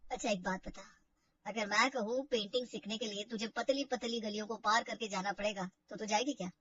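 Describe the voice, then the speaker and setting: A woman voicing a calm, protective young husband and older brother in a family drama story, speaking in a measured way. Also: medium pitch, dull audio, a little hiss or hum, voice pushed down, natural voice. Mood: calm, testing.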